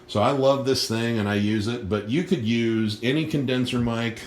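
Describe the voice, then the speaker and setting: A man's voice: medium pitch, moderate pace, echoey room, slight background noise. A man giving a casual, rambling tour of his home studio gear, talking with restarts and fillers, recorded on a handheld phone. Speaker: piano teacher and live streamer. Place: small home studio with piano and computer. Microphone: phone camera held while walking around.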